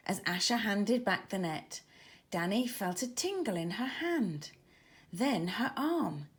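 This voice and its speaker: A woman narrating a scripted children's story in a dramatic, expressive manner. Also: on the high side. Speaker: author narrator.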